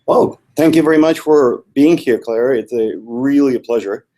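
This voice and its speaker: A middle-aged man from Ukraine with a Ukrainian accent, a guest speaking in a measured way with small slips and restarts. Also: mid-range voice, warm tone. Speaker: guest, institute co-founder. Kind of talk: video interview.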